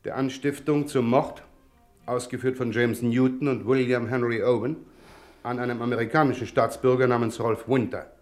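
A man's voice: medium pitch, fairly even intonation, darkish tone, faint music behind, lightly acted. Actor playing a firm, formal male police inspector. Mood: firm, official. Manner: brisk, official, polite but insistent. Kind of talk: German radio crime drama acting.

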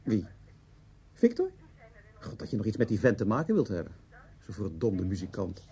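A man's voice: lowish voice, wildly swooping pitch, normal speed, mellow. A man acting in a radio play as a gossipy, evasive old student friend, grumbling, hesitant and defensive. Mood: dismissive. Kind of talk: radio drama dialogue.

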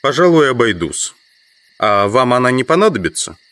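An actor playing a questioning, brooding trapped scientist in an audio drama. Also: male voice, medium pitch, very animated, moderate pace, fairly bright tone. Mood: puzzled, questioning. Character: puzzled, brooding entomologist trapped in a sand pit.

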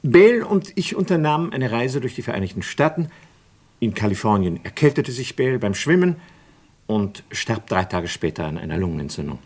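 An actor playing a composed, dignified doctor under suspicion in a radio play, formal and measured, with a hesitant, evasive way of talking. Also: male voice, medium pitch, big pitch swings, darkish tone. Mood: guarded courtesy. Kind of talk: German radio play dialogue.

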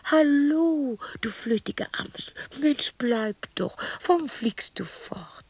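An actress playing a lonely, eccentric widow of sixty in a radio play, muttering to herself in a dramatic, erratic, defiant way. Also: female voice, sits high, expressive, slow pace, a little mellow, no room sound, heavily acted. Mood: whimsical, childlike. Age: 60s. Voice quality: breathy, raspy. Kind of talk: radio play monologue acting.

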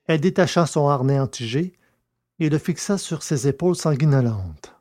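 A man reading a science fiction story aloud in a steady, measured narration. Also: fairly high pitch. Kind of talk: scripted audiobook narration.

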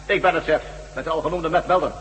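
A man's voice: fairly high pitch, fast talker, natural voice. An actor playing a smug, condescending henchman from a superior race, mocking in manner, in a Dutch radio drama. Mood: smug, commanding. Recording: noisy old radio recording.

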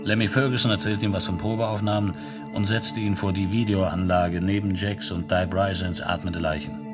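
An actor playing a detached narrator of a science fiction radio play, telling it in a factual, report-like manner. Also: male voice, low voice, flat delivery, normal speed, lightly acted. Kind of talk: scripted narration, 1970s German radio drama. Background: electronic music.

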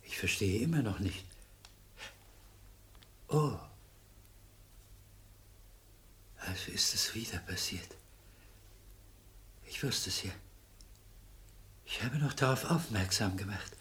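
A man's voice: on the low side, lively intonation, unhurried, pauses often, a bit bright, a little hiss or hum. An actor playing a meek, polite but stubbornly principled little man in a radio crime play, apologetic, hesitant and philosophical. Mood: troubled, secretive.

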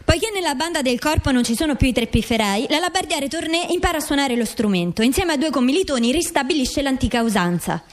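Higher-pitched female narrator. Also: animated, fast, few pauses. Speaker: narrator in a radio play. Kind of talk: scripted documentary-drama narration.

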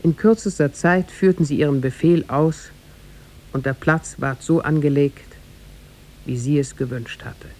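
A man narrating a tale in a 1950s German radio play, formal and measured. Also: fairly high pitch, a little mellow. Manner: formal, measured storybook delivery.

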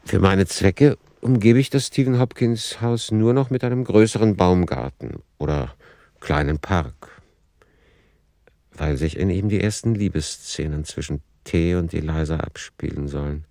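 An actor playing an author who comments on his own manuscript in a sober, deliberate, essayistic way for a radio play. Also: sits low, gravelly, warm tone, no echo, studio quality. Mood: sober, reflective.

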